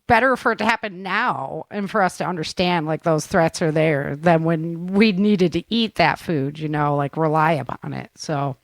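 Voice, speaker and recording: Chatty woman host with a lowish, dry voice. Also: moderate pace, few pauses, dry recording. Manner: chatty, encouraging, affirming. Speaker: podcast host interviewing a guest. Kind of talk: casual conversational interview.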